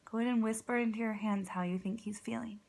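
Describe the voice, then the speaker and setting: A woman, a kindergarten teacher, reading a storybook aloud expressively, with dramatic pauses and encouraging questions for young listeners. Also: on the high side, fairly even intonation, vocal fry, a little mellow. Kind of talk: children's read-aloud with prompting questions.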